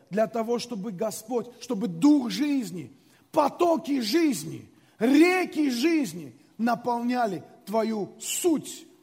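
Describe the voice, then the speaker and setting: A man's voice: very high voice, a little mellow, slightly rough, in a large hall. A man preaching a sermon in a conversational way, repeating phrases and calling the congregation to respond. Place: large church hall.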